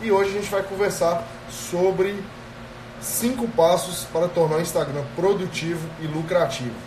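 A man's voice: sits high, moderate pace, echoey room, far from the mic, noisy background. A man in his thirties with a Brazilian Portuguese accent hosts a live stream as a productivity coach. He has a cold and talks straight to viewers, checking in with them often. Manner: energetic, addresses viewers directly, frequent "tá?" checks. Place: small indoor room. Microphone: earphone headset mic on a phone.

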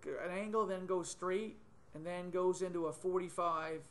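Higher-voiced man explaining software steps. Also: fairly even intonation, moderate pace. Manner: thinking aloud, many ums and self-corrections. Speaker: software tutor. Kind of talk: screen-recorded software tutorial. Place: small indoor room, webcam in corner of screen.

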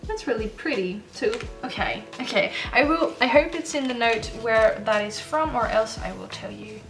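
A woman's voice: fairly high pitch, normal speed. Gushing young Dutch woman, music behind. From Netherlands. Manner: gushing, excitable, restarts often. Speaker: stationery vlogger. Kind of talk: casual unboxing chat. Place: home desk, indoors.

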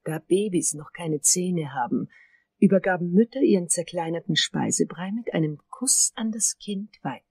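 An actress playing an eerily calm, hypnotically coaxing dentist in a crime radio drama, speaking in a breathy way. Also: female voice, lowish voice, normal speed, dry recording. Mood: assured, mysterious. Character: eerie, hypnotically soothing female dentist, sinister beneath the calm.

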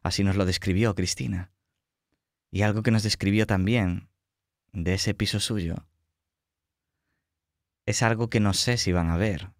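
A man with an accent from Spain, narrating a tabletop roleplaying game as game master in a measured, descriptive way. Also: on the low side, fairly even intonation, no room sound, clean studio sound. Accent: Spanish (Spain). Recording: music behind the voice.

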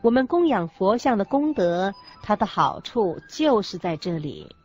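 A woman's voice: mid-range voice, very animated, unhurried, a little mellow, husky. A woman co-narrating a scripted religious radio drama, phrasing each teaching carefully. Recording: muffled audio with music bed. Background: background music.

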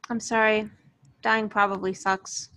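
A woman's voice: medium pitch, little pitch movement, unhurried, pushed and bright. A woman reading the role of a guarded, weary young love interest at an amateur table read, understated and wry. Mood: regretful. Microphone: video call.

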